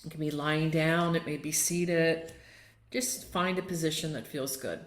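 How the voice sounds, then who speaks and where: A woman leading a guided relaxation as a yoga nidra teacher, gently and without hurry, inviting listeners along. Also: low-pitched, fairly even intonation, measured pace. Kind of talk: guided meditation and relaxation instruction. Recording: roomy, echoing sound.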